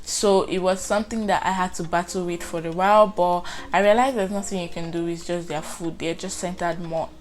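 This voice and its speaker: A woman's voice: lowish voice, fairly quick, few pauses. Chatty Nigerian woman vlogging. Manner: chatty and expressive, acts out her reactions. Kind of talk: casual vlog storytelling.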